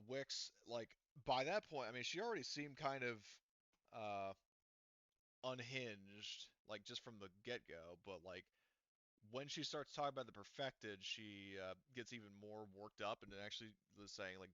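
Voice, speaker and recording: A man running a tabletop roleplaying game as game master, talking in a rambling, halting way full of fillers. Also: fairly low pitch, sharp tone, smooth, dry recording. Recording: dull, lo-fi.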